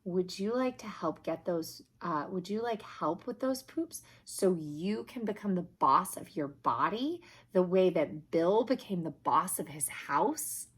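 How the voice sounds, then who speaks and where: Slow, animated woman reading to children. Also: on the low side, breathy edge. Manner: explanatory, expressive, addressing young children. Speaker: children's story reader. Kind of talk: picture book read aloud with simple asides to children.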